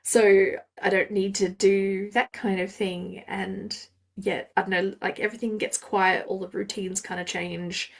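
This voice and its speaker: A woman's voice: medium pitch, little pitch movement, measured pace, creaky. A woman from Australia hosting a podcast, talking through her thoughts alone, musing and often trailing off with fillers. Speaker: podcast host. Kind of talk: solo reflective podcast talk.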